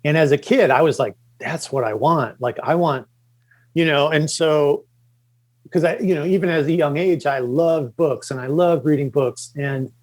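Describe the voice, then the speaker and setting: A middle-aged man from the Chicago suburbs, a podcast guest, talking casually and haltingly with many fillers and restarts. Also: a little high. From Chicago suburbs, Illinois. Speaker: podcast guest, comics creator. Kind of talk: casual interview talk.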